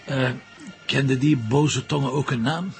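A man's voice: mid-range voice, steady tone, unhurried, gravelly, faint music behind. A man playing a dry, probing police commissioner in a TV crime drama, teasing and informal, with a Flemish accent.

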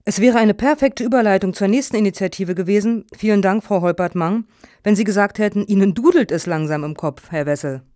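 Female panel moderator for radio satire, played by an actress: medium pitch, close dry sound, courteous and wry. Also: a little fast, warm tone, no echo, close to an everyday voice. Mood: dryly polite. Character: polite, dry, controlled moderator of a funding jury. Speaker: actor in satirical radio play.

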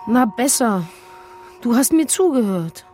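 A woman's voice: fairly high pitch, expressive, a little slow, mellow, soft background music. Plain-spoken, needy woman. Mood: hurt, threatening. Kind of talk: German radio crime drama acting.

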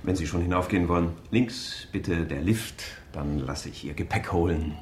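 A man's voice: low voice, moderate pace, darkish tone, slight background noise, close to an everyday voice. An actor playing a courteous male hotel receptionist in a radio comedy, formal and attentive as he serves a guest. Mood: obliging, polite. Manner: formal, attentive service politeness. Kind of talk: German radio drama acting.

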